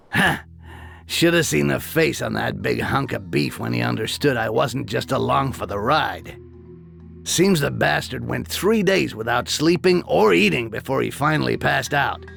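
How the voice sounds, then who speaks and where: A man voicing a cynical, foul-mouthed goblin mercenary in a video game, gravelly and sardonic. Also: medium pitch, fairly bright tone, exaggerated voice. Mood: sardonic, animated. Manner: sardonic, streetwise, crude. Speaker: character narrator.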